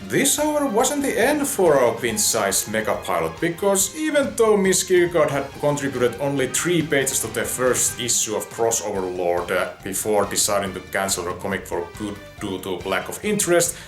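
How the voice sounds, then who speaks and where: A man reading a scripted review as narration, opinionated and given to wry asides. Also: medium pitch.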